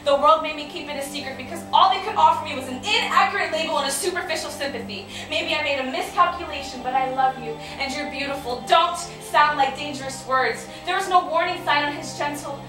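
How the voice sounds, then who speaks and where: A woman performing spoken word as a wounded, reflective young woman, with deliberate, declamatory, literary phrasing. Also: sits high, close to an everyday voice. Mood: anguished. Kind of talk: spoken-word dramatic monologue. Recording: very echoey, distant mic, music behind.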